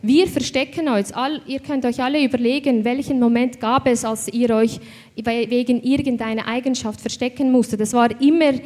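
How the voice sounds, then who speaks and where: A woman's voice: on the high side, steady tone, moderate pace, darkish tone. Swiss woman on a panel, echoing hall. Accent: Swiss German. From Switzerland. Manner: reflective, with frequent hesitations. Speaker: panel speaker. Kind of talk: panel discussion. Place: event hall. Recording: hall reverb.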